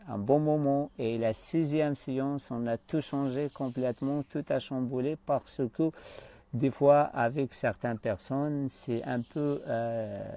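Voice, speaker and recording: A man's voice: mid-range voice, mellow. Hesitant man coaching live. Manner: hesitant, often searching for words. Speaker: life coach hosting a live stream. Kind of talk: unscripted live-stream talk to viewers.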